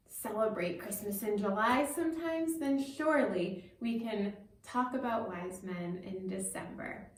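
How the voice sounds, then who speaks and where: Woman, children's storyteller, unhurried pace, simple explanatory delivery, strong room echo, distant microphone. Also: mid-range voice. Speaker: pastor hosting a children's story time.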